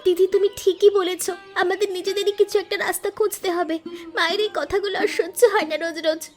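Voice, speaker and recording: A woman as a very high-pitched young village wife. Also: fairly even intonation, fairly quick, soft background music. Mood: determined. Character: young village wife, plucky, anxious, hurt. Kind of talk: Bengali cartoon story dialogue.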